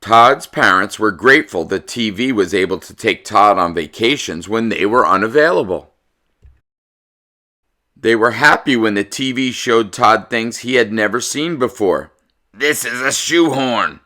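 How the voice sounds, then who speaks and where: A man narrating a children's picture book as a read-aloud host, theatrical and deliberate in his storytelling. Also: on the low side, sharp tone.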